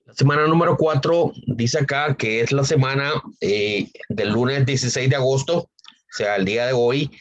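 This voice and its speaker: A man teaching a university statistics class online, hoarse from a cold, speaking unhurriedly with frequent fillers and repeated phrases. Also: mid-range voice, moderate pace, lo-fi sound. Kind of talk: online lecture.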